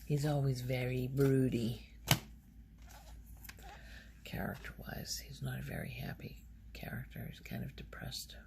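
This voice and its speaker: A woman speaking softly in casual ASMR style, often slipping toward a whisper, halting as she thinks aloud. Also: rumbling low, animated, vocal fry. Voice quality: soft, often breaking into whisper. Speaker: ASMR video host. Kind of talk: soft-spoken ASMR, casual rambling show-and-tell.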